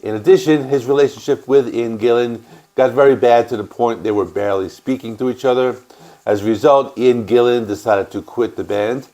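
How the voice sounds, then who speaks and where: A man with a stuffy cold, hosting a music channel and calmly explaining an album's history in a casual, matter-of-fact way. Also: mid-range voice, normal speed, low-quality recording. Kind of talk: casual record review and band history.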